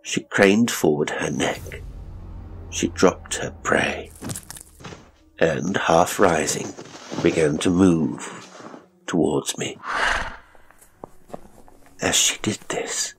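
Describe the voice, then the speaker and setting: Male horror narrator, very low register, grave and suspenseful delivery, faint ambient noise under the read. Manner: suspenseful, deliberate phrasing, grave and theatrical. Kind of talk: dramatic audiobook narration of classic horror.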